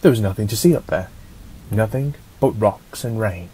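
A man's voice: fairly low pitch, expressive, moderate pace, mellow, a little hiss or hum, poor audio. Male audiobook narrator, dramatic storytelling.

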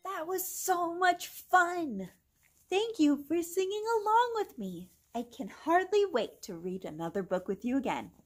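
A young adult woman hosting a children's read-along, reading a picture book aloud in an exaggerated, animated manner for small children. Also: very high voice, unhurried. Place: small indoor room with bookshelf.

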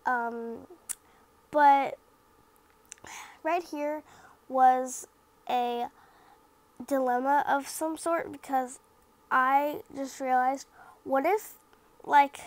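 High-voiced stuffy young woman rambling. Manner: rambling, self-critical, lots of "like" and fillers. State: slightly stuffy from the sniffles. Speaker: craft vlogger. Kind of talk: casual voice-over narration of a craft video.

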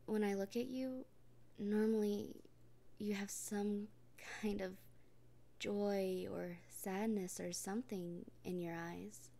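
A woman in a soft, gently reassuring comfort roleplay, often speaking in a whisper. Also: mid-range voice, steady tone, slow pace, vocal fry. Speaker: roleplay performer speaking as a partner.

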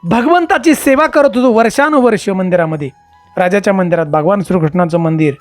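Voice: medium pitch, brisk, soft background music, close to an everyday voice. A storyteller voicing a devout, aggrieved Brahmin man, pleading and challenging with questions.

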